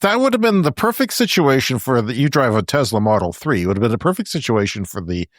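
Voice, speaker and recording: A man co-hosting a podcast in casual chat, talkative and quick to laugh. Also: medium pitch, sing-song, dry recording, clean studio sound. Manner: chatty, laughs often between remarks. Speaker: podcast co-host. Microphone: remote podcast call.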